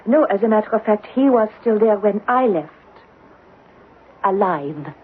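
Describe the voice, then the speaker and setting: A woman playing a wistful, theatrical stage actress haunted by foreboding, in old-time radio drama style with halting, dramatic delivery. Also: medium pitch, expressive, husky. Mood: guarded, composed. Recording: narrow-band old radio broadcast, faint music.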